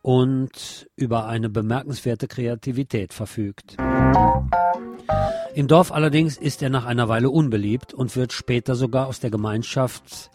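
A man's voice: medium pitch, unhurried. Conversational man reviewing films over music. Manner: personal, opinionated, conversational. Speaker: radio film reviewer. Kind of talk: film review commentary.

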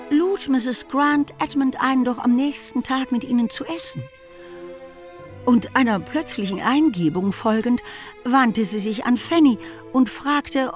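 Higher-pitched female storyteller over soft music. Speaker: narrator. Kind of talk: scripted literary narration for radio drama.

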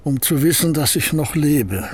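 A man's voice: on the high side, lively intonation, normal speed, slight background noise, natural voice. A man playing a curt, guarded old painter. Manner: terse, clipped replies. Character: aging reclusive male painter, curt and guarded. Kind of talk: radio drama acting.

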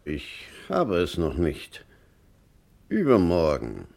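An evasive, formal doctor with an indignant streak. He speaks slowly and low, with dramatically swinging intonation, in the manner of a mid-century German radio crime play. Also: huge pitch swings, gravelly, a little mellow, exaggerated voice. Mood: guarded, uneasy. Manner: stiff, formal. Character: evasive, indignant male doctor, a formal villain under pressure.

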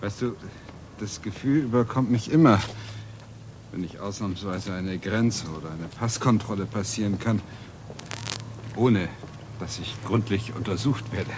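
An actor plays an introspective, uneasy man in a radio play. His voice is on the low side, reflective and hesitant. Loud vehicle noise runs behind him. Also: measured pace, pauses often, close to an everyday voice. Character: uneasy, introspective male visitor who expects the worst. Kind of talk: naturalistic radio drama dialogue. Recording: loud car and ambient noise.

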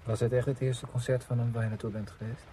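An actor playing a proud, irritable writer with a curt, scornful manner in a radio play. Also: male voice, medium pitch, level tone, fast, mellow, a little hiss or hum, lightly acted. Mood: resigned, frank. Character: proud, irritable male writer, bitterly frank with his woman friend.

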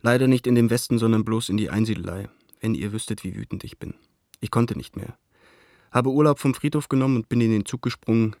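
An actor playing an embittered, indignant painter, venting a letter aloud in an agitated, sardonic and articulate way for a radio play. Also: male voice, lowish voice, a little fast, a little mellow, no echo, clean studio sound, close to an everyday voice.

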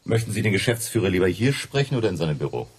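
Menacing journalist, radio drama, very low, swooping intonation, contemptuous. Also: male voice, sing-song, very fast, breathy edge, exaggerated voice. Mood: cold contempt. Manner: contemptuous, commanding, controlled. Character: cold menacing German journalist living under false names.

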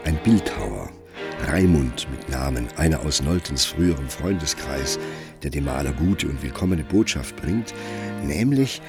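An actor narrating a literary radio drama as a measured storyteller of a romantic tale. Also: male voice, a bass voice, animated, full character voice. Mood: measured storytelling. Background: music under the narration.

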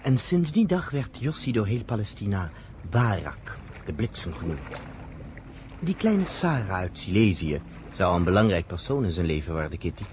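A man playing a reflective storyteller, narrating a radio drama in a measured way. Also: fairly low pitch, sing-song, darkish tone, slight background noise. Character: reflective male storyteller recounting pioneer history to a listener.

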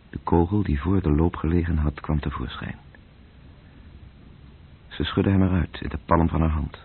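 A man narrating a literary radio drama in terse, measured phrases, with a gravelly, breathy voice. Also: low voice, slight background noise. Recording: muffled, tinny old radio-play recording.

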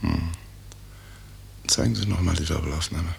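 A man's voice: sits low, steady tone, normal speed, gravelly. A man acting a brusque, patronising senior surgeon, a middle-aged professor, in a 1950s radio drama. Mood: offhand, patronising. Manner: offhand, patronising. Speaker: actor. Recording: noisy old 1950s radio recording.

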